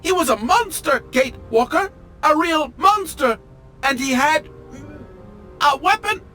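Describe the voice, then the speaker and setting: A man's voice: extremely high pitch, animated, a little slow, sharp tone, soft background music, exaggerated voice. A man playing a pompous, melodramatic automaton train engineer in a video game, with formal, fussy and theatrical diction. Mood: indignant, horrified. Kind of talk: video game character acting.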